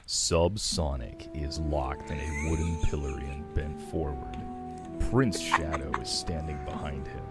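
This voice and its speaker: A man reading a screenplay as narrator in an amateur group reading, serious and measured. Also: rumbling low, steady tone, loud music behind the voice. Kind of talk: scripted narration of a screenplay read among friends.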